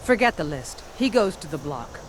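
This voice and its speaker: A woman's voice: sits low, sing-song, normal speed. An actress playing a stern, impatient female Imperial captain in a fantasy video game, curt and commanding. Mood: stern, dismissive. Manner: curt, commanding, barking orders. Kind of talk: video game character acting. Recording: noisy outdoor game scene ambience.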